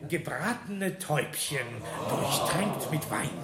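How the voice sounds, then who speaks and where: A man playing a monk in a radio drama, announcing a feast and savouring each item deliberately. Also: a little high, lively intonation, very noisy. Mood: relishing. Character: monk slowly announcing a lavish feast with relish. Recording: echoing room with crowd behind.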